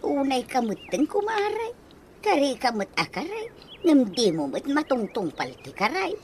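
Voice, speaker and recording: A woman's voice: sits high, wildly swooping pitch, measured pace, a little hiss or hum, full character voice. A woman acting a bashful middle-aged widow with an Ilocano accent in a comedy radio drama, coyly protesting. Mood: complaining. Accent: Filipino Ilocano. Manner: coy, protesting.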